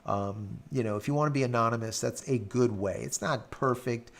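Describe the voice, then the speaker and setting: Male live-stream host, raspy and gravelly, loose rambling delivery with fillers. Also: medium pitch, normal speed. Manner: rambling, filler-heavy, hums while scrolling. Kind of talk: casual live-stream chat reading viewer comments.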